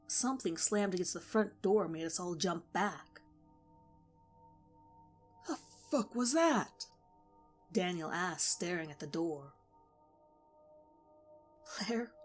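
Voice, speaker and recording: A woman narrating a horror story in a measured, steady way. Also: on the low side.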